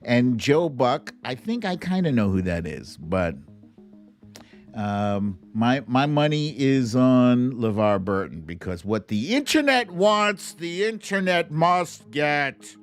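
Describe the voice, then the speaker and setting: A man's voice: mid-range voice, very animated, slow pace, soft background music. A man hosting a casual livestream, chatting and reacting to clips in a playful, theatrical way. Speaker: host of a live online show.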